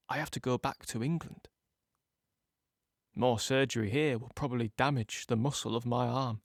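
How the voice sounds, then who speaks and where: A man's voice: mid-range voice, dry recording, close to an everyday voice. Man acting a shaken young British soldier, close-up. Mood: subdued. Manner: halting, repeating words as he relives events. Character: young British soldier, wounded in Normandy, shaken but dogged. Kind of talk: audio drama monologue, present-tense war recollection.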